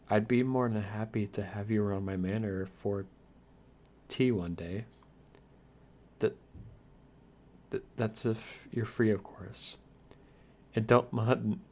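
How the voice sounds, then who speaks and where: A man playing a shy, lonely, youthful vampire lord in an ASMR roleplay, stammering earnestly with hesitant, softly formal manners. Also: lowish voice. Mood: grateful, flustered. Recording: clear background hiss and noise.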